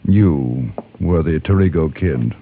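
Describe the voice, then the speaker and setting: An actor playing a calm, probing frontier gentleman who is hero and narrator of a Western radio drama, measured and understated in his questioning. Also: rumbling low, husky, exaggerated voice. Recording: dull old radio recording with music.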